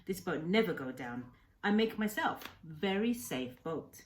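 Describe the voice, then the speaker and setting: A woman voicing a kindly old Japanese castaway doctor in a storybook, speaking earnestly in short, halting, simplified English sentences. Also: lowish voice, lively intonation, a little hiss or hum. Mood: cheerful, eager.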